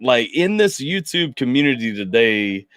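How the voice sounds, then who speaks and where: A man hosting a casual music interview, talking in an animated way with lots of "like" and "you know". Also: medium pitch, normal speed, dry recording, studio quality. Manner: animated, filler-heavy, lots of "like". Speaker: podcast host.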